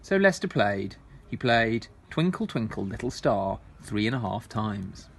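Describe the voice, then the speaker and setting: A man reading a children's storybook aloud as a storytime reader, in an expressive way. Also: on the low side, measured pace, gravelly, breathy edge.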